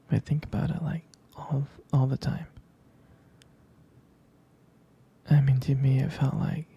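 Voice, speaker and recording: A protective, tender boyfriend with a jealous streak, acted by a man for an intimate ASMR roleplay. He murmurs slowly and hesitantly, half whispering. The voice is very husky and breathy, soft and close in feel. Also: mid-range voice, full character voice. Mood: tender, nostalgic. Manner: slow, hesitant, soft-spoken.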